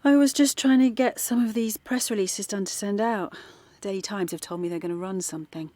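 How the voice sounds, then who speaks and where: An actress playing a middle-aged former activist turned art teacher from London, talking softly late at night in a radio drama. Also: female voice, mid-range voice, fairly quick, dry recording, lightly acted. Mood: kind. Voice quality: soft, hushed late-night talk. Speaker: character in audio drama.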